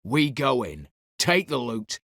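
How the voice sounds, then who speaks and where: An actor playing a shrewd, vengeful heist mastermind in a video game, speaking in a clipped, commanding way with archaic turns of phrase. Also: male voice, mid-range voice, big pitch swings, slow pace, a bit bright, dry recording, studio quality, heavily acted. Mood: brisk, commanding. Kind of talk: dramatic video game voice acting.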